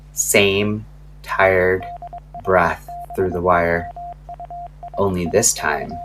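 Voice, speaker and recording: A man with a very low voice narrates scripted horror as a late-night radio host. He speaks slowly and ominously, leaving dramatic pauses between short phrases. There is faint noise under his voice. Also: fairly bright tone, poor audio. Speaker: radio-style host and storyteller.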